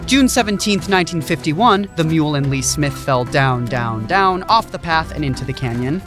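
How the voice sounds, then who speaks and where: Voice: mid-range voice, lively intonation, moderate pace, over music, lightly acted. An actor playing an eager hiker who recites grim trail history with dramatic relish, in an audio drama. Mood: gleefully ominous.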